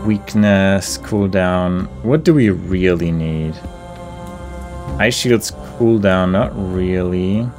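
Male gaming host, low register, understated and musing, casual playthrough commentary with clear music underneath. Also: takes it slowly.

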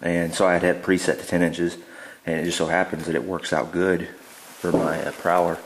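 A man giving a relaxed, plain-spoken DIY walkthrough in a garage, with lots of ums and uhs, recorded on a handheld camera. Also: low voice, a little fast, roomy sound, low-quality recording. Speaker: DIY builder showing his work. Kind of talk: casual how-to walkthrough. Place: indoor garage.

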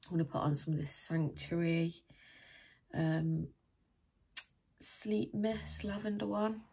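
Croaky, deep-voiced British woman vlogger. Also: slow pace, mellow. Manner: rambling, chatty, thinking aloud. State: tired. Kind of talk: casual chatty vlog. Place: small room at home, indoors.

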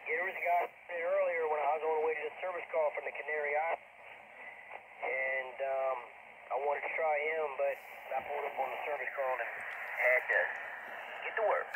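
Through very heavy radio noise comes a middle-aged man. His voice sits on the high side, and he chats casually as an amateur radio operator over a two-way set. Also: steady tone, normal speed. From United States. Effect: two-way radio transmission. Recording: very noisy, static-laden ham radio audio.

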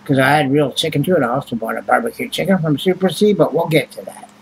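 A man's voice: a little high, fast talker, vocal fry, a little hiss or hum, low-quality recording. A man, rambling and swearing casually as he reads prices off a receipt into a webcam at home. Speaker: vlogger. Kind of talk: casual chat. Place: small home room indoors.

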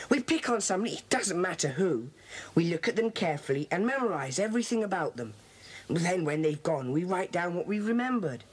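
A woman playing an earnest, bossy schoolboy club leader in a children's audio drama, brisk and authoritative. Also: fairly low pitch, breathy edge.

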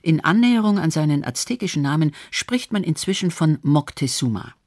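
A man's voice: a little high, big pitch swings, brisk, noisy background. A man narrating a documentary from a script as its narrator.

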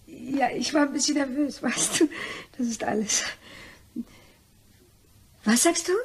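A woman's voice: high-pitched, unhurried, slightly rough, heavily acted. A woman playing a nervous, elegant young mademoiselle in a crime radio play, emotional and polite but condescending. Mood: relieved, elated.

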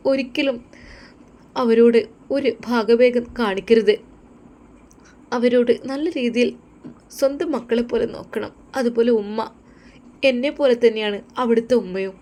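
Sweet young wife, woman voicing her, higher and earnest, kinda pleading. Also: darkish tone, lightly acted.

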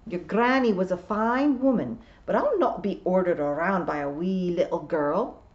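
A woman's voice: fairly low pitch, moderate pace, close to an everyday voice. A woman voicing a proud, prickly ninety-six-year-old male pixie piper who scolds emphatically in dialect, on a slightly dull recording. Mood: stern, offended.

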